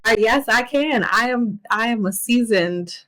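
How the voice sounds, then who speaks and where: A woman's voice: on the high side, a little slow, a bit bright. A woman in her late twenties, a chef, talking as a podcast guest in an upbeat, reflective way. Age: 20s. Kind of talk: casual interview chat.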